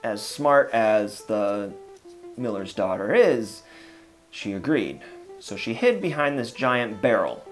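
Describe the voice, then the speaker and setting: A storyteller retelling dark fairytales in a dramatic yet casual way, with wry conversational asides. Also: medium pitch, big pitch swings, slow, faint music behind.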